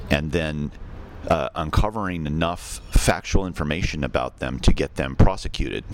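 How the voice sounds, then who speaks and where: A man hosting a podcast and interviewing guests, speaking conversationally in a measured, halting way with pauses. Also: a bass voice, a little hiss or hum. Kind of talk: conversational spoken introduction and interview.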